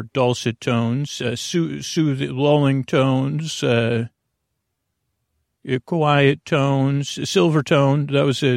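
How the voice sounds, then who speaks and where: A man with a creaky voice hosting a bedtime podcast, rambling haltingly through tangents in a soothing, lulling way. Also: mid-range voice, a little mellow, no room sound, clean studio sound. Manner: meandering, halting, full of tangents. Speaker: podcast host.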